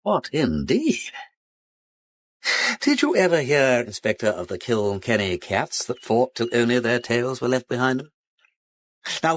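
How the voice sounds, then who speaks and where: Posh english gentleman sleuth, radio play, whimsical old-fashioned slang, voice swoops all over the place. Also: medium pitch. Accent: upper-class English. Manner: breezy, whimsical, musing aloud, old-fashioned society slang. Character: genial aristocratic English gentleman detective, witty and breezy. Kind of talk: radio drama acting.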